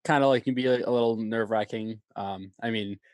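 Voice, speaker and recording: A man with a stutter chats as a podcast guest, using plenty of "like" and casual swearing. His voice sits on the low side. The recording is dry and close, with no echo. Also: steady tone, brisk. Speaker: podcast guest, band vocalist. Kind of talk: casual chat.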